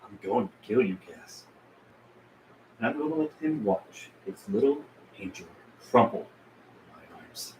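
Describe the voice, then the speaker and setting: A man's voice: fairly low pitch, lively intonation, a little slow, a little mellow. A man playing a cruel, menacing henchman with feigned politeness at a script table read. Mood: cold threat. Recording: roomy echo with clear background noise.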